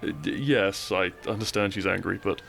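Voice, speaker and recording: Man playing a weary, creaky-voiced runaway prince. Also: sits low, normal speed, a bit bright, faint music behind. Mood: injured, resigned. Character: runaway royal turned outlaw, weary, tender, gently pleading lover. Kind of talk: scripted romantic audio roleplay.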